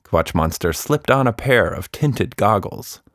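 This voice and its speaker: A man narrating a scripted children's story in an expressive storyteller's manner. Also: on the low side, a little slow, dry recording, studio quality. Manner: expressive, animated reading.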